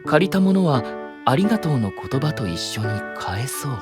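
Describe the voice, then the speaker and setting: An actor plays a polite, gentle, composed young male knight in an anime audio drama, using formal courteous speech. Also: medium pitch, very animated, moderate pace, over music, lightly acted.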